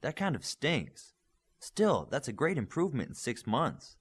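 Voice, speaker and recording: Man reading as a young superhero student, boy, earnest, excitable, friendly, lively pitch, audiobook. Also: unhurried, slightly rough. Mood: curious, friendly.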